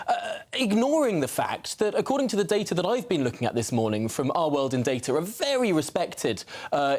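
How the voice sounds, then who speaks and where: A man's voice: high voice, darkish tone. A British man presenting political commentary, making pointed, rhetorical arguments and stressing key words. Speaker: news presenter. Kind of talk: broadcast political commentary and questioning.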